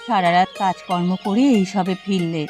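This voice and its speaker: A woman's voice: lowish voice, steady tone, moderate pace, a little mellow, faint music behind, natural voice. Woman playing a suspicious, reproachful village wife. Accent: West Bengal. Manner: reproachful, pointed. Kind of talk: cartoon voice acting.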